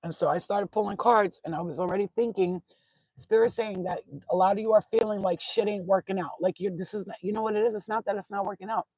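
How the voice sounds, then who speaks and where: A woman from Albania with a husky, rough-edged voice, recorded dry and close. She talks off the cuff to her online followers as a card reader and advice giver. Her manner is blunt and sweary, and she drives points home by repeating them. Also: mid-range voice, fairly even intonation, quick pace, a little mellow, no echo, rough recording. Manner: blunt, profane, repeats herself for emphasis. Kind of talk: informal, unscripted talk to followers.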